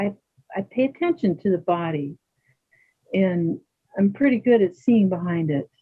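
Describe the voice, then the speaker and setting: A woman playing a calm, dignified older yoga teacher in readers theater, chatting and gently probing with questions. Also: mid-range voice, breathy edge. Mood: gentle, frank. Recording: thin phone-like band-limited sound.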